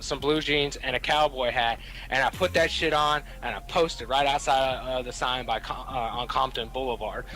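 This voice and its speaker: A young man from Kentucky with a Southern drawl swaps rambling stories in a loose chat. His voice is bright and forward, he swears freely and often restarts his sentences, and faint music plays under him. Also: mid-range voice, low-quality recording. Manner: rambling, profane, many restarts. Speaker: podcast host. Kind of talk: casual storytelling chat.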